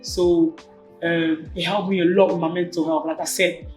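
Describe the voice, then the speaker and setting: A woman's voice: lowish voice, normal speed. A woman in her twenties from Cameroon, a native French speaker, talking casually and animatedly as an interview guest. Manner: informal and animated, slangy asides. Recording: echoey room with faint music behind.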